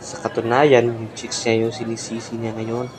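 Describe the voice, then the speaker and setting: A man playing an excitable, gossipy neighbourhood buddy in an amateur radio drama. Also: mid-range voice, moderate pace, a crowd in the background, lightly acted. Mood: musing.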